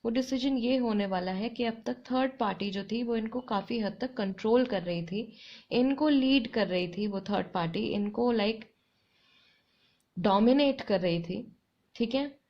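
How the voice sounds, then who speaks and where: A woman with an Indian accent giving a tarot reading to her viewers, her throat a little hoarse, speaking in an unhurried, reflective way and repeating phrases for emphasis. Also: on the high side, dull audio. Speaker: tarot reader.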